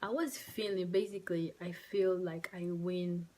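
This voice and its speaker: Soft-spoken young woman, non-native English. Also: fairly low pitch, steady tone. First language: not English. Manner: halting, soft-spoken, emotional, many fillers. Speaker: vlogger. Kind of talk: personal story told to camera. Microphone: phone or camera mic.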